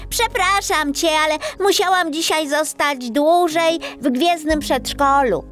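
A woman voicing a cheerful, kindly little star girl in a children's bedtime story, with a sing-song, expressive, childlike delivery. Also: high-pitched, unhurried, soft background music, voice pushed up. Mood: eager.

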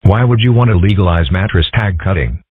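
Casting: man as sensible cartoon fox lad, dry delivery, low husky voice, phone-like sound. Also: brisk, no room sound. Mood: puzzled. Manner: dry, deadpan. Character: sensible, deadpan young fox boy. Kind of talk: comic strip dub. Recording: muffled narrow phone-like sound.

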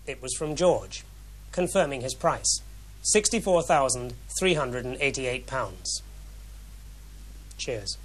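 A man in his thirties with an English accent giving a scripted television report in a wry, measured way with deliberate pauses. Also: mid-range voice, expressive, poor audio. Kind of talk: scripted investigative report, to camera and voice-over. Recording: 1970s TV film sound, background noise.

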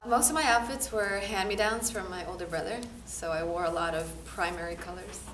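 A woman theater artist giving relaxed, easygoing answers in an interview. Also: medium pitch, a little fast, a little hiss or hum. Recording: echoey room, distant mic.

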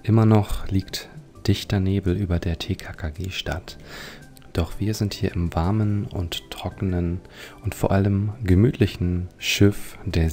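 A man's voice: low voice, creaky, dark tone. A man hosting a let's play, giving leisurely, reflective commentary on a video game.

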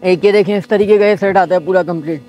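A man, a shop owner calmly showing baby clothes in his market shop and quoting prices item by item. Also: high voice, quick pace, lo-fi sound, a little hiss or hum. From Pakistan. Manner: patient, listing items one by one. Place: busy market shop indoors.

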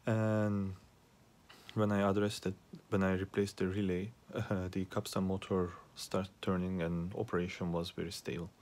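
A man giving an unscripted troubleshooting demonstration as a hobbyist repairer, speaking haltingly with frequent pauses and hesitations. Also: sits low, flat delivery, a little mellow. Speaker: hobbyist repairer asking viewers for help. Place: small indoor workspace at a repair bench.

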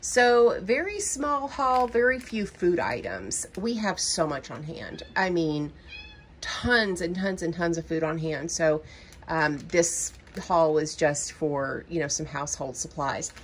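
A middle-aged woman with a Southern drawl talks her way through a shopping haul in a rambling, chatty manner, full of ums. Her voice sits a little low. Faint hiss hangs under the kitchen recording. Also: animated, slow pace. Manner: chatty, rambling, many ums, baby talk to her cat. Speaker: budgeting vlogger. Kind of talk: casual haul narration. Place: home kitchen.

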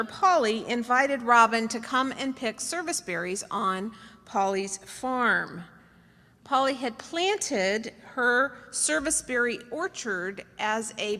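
A middle-aged American woman delivering a sermon from notes in a measured, emphatic storytelling way. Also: fairly high pitch, sharp tone. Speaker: preacher. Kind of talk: sermon read from notes to a congregation. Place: church meeting hall, indoors. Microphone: podium gooseneck microphone. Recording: reverberant hall, podium microphone.

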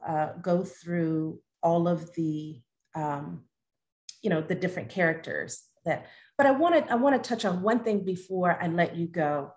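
A middle-aged woman hosting a conversational interview over a video call from her home. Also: low-pitched. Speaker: interview host, theatre director. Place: large living room at home on a video call.

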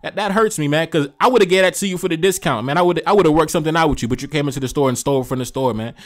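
Fast-talking man giving commentary. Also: on the high side. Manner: emphatic, repetitive, slangy. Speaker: host of a reaction show. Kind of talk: opinionated commentary.

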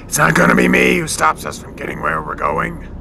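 An actor playing a scarred, taunting crime boss with a split personality in an audio drama, theatrical and gravelly, breaking into harsh whispers. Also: male voice, fairly high pitch, wildly swooping pitch, background noise, heavily acted. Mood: mocking. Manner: theatrical, swinging, drawn-out menace.

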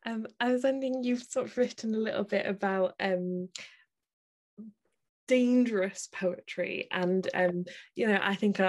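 A woman interviewing a guest for a festival, asking long questions with frequent hesitations. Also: medium pitch. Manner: hesitant, many fillers, warm laughter.

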